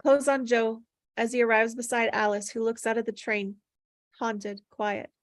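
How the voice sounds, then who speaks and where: A woman's voice: a little high, flat delivery, normal speed, dry recording. A woman reading stage directions aloud at a table read, plainly descriptive, with occasional stumbles over the words.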